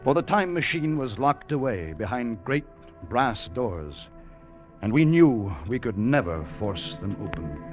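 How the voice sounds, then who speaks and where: An actor playing a weary, fearful time traveller, narrating gravely and deliberately in an old-time radio drama. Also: male voice, mid-range voice, very animated. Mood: grave, somber. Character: Fowler, weary fearful male time traveller recounting his ordeal. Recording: muffled old radio recording with music behind.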